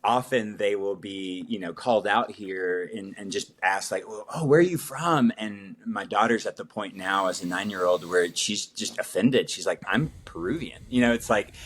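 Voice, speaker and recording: Low-pitched man from Italy, reflective. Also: normal speed, hardly any pauses, fairly bright tone, husky. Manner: reflective storytelling with fillers. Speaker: podcast guest. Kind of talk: casual conversational interview.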